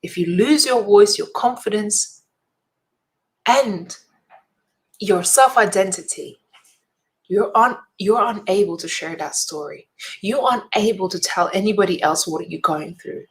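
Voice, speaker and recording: A woman's voice: mid-range voice, a little slow, long pauses. A woman giving an earnest, inspirational talk straight to her viewers, a speaker and event host who leans on emphatic repetition. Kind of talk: motivational direct-to-camera talk.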